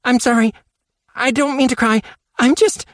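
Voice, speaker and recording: A man voicing an emotional teenage girl with a high, shaky, pleading voice. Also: big pitch swings, brisk, dry recording, clean studio sound. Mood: tearful, emotional.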